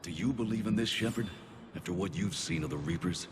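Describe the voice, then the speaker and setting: A man playing a grave, weary alien councilor in a video game, measured, formal and authoritative. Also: low-pitched, lightly acted. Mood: grave, doubting. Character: grave alien male councilor, weary but pragmatic statesman. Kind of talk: dramatic video game acting. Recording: echoing hall, clear background noise.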